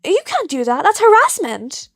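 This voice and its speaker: A woman voicing a defiant, flippant teenage boy, cheeky and quick to protest, in an audio story. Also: very high voice, huge pitch swings, a bit bright, no room sound, clean studio sound, voice pushed up, heavily acted. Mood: indignant.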